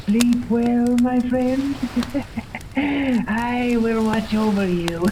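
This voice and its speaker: An actress playing a sinister, gloating watcher in a 1930s radio serial, drawing words out mockingly. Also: female voice, a little high, very slow. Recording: distant, noisy old 1930s radio.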